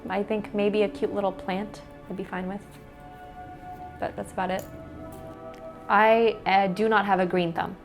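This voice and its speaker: A woman's voice: medium pitch, level tone, measured pace, long pauses, soft background music. Young American woman, chatty and casual. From United States. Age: young adult. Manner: chatty, with frequent "like" fillers. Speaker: interviewee. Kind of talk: casual interview answers.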